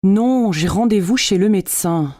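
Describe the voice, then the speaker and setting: A woman acting out everyday scripted dialogues for language learners, speaking clearly and deliberately. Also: mid-range voice, lively intonation, darkish tone, dry recording, clean studio sound.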